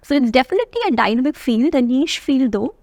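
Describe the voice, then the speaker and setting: A woman with an Indian accent speaks as a guest in a casual interview. Her voice is fairly high, recorded close with very little room sound. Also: expressive, fast talker, darkish tone, dry recording. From Mumbai, India. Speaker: guest, tax advisory manager.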